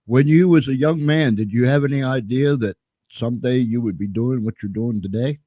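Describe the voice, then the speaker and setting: A man hosting a live interview show in an upbeat, energetic manner. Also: mid-range voice, brisk, no room sound, lo-fi sound. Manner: upbeat, energetic host patter. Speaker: show host.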